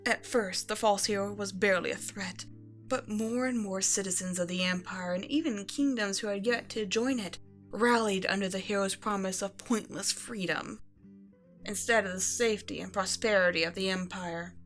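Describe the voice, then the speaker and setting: A woman playing a regal evil empress and doting mother in an ASMR bedtime roleplay, speaking in a measured, tender, condescending way to a child. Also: mid-range voice, fairly bright tone, lightly acted. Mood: smug, self-righteous. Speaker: audio roleplay performer. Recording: music behind the voice.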